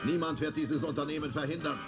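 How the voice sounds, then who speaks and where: Man playing a menacing cartoon villain over loud music. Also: fairly high pitch, fairly even intonation, fast, lightly acted. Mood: hard bargaining. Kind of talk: dubbed action cartoon dialogue. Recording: loud music behind, old cartoon soundtrack.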